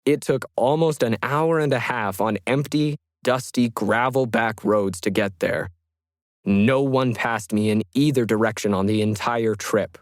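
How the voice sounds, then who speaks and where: A man voicing a solitary, methodical 1950s ham radio hobbyist, reading his journal in a measured, reflective way for an audio story. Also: mid-range voice, no room sound, clean studio sound, natural voice. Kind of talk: scripted first-person journal narration.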